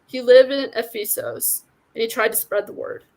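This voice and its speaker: An ancient god of the wild, tender and forlorn as a woman reads him from a novel. He speaks solemnly and gently, taking his time like someone fading away. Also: on the high side, big pitch swings, normal speed, poor audio. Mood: weary, gentle.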